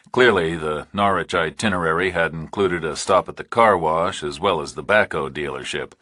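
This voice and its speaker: Very low-voiced male thriller narrator, slightly husky. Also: sharp tone, no room sound, clean studio sound. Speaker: audiobook narrator.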